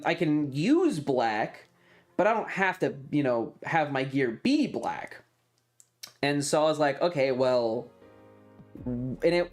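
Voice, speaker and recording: A man chatting casually as a streamer, thinking aloud in an informal, sweary way. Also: medium pitch. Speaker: streamer and video creator. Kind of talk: casual rambling monologue.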